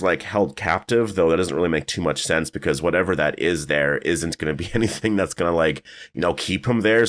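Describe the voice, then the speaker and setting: A deep-voiced man talks through his thoughts in a loose, rambling commentary, his voice raspy and slightly worn. He fills pauses with "uh" and "like" as he speculates. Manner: rambling, filler-heavy, thinking aloud. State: raspy, strained voice. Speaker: YouTube commentator. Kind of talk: casual video commentary.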